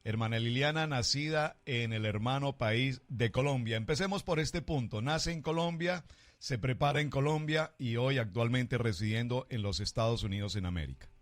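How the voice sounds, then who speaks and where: Male pastor-host, formal and affirming, mid pitch, dry studio sound. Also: normal speed, no echo. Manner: formal, effusive greetings, affirming fillers. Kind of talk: formal radio interview hosting.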